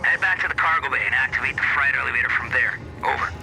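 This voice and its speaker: An actor playing a hard-bitten, brusque army major in a video game, giving commanding military orders. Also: male voice, medium pitch, fast, creaky, background noise, lightly acted. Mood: brisk, commanding.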